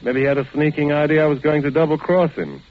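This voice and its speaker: A man's voice: on the high side, fairly even intonation, quick pace, lightly acted. An American actor playing a bitter, scheming husband who tells his crime story in a clipped 1940s radio drama style. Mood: cynical confessing. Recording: muffled old radio broadcast, loud music behind.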